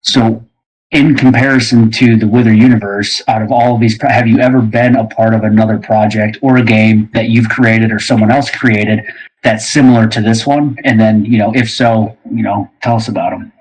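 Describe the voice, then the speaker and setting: A man's voice: fairly low pitch, fairly even intonation, normal speed, a little mellow, slightly rough, rough recording. A man hosting a casual interview and putting questions to a guest. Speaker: host, interviewer.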